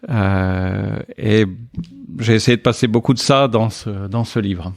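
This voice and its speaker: A man's voice: lowish voice, measured pace, vocal fry, no room sound, clean studio sound. A man in his early fifties from Paris, speaking as a guest in a podcast interview, with many fillers and restarts. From Paris, France. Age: 50s.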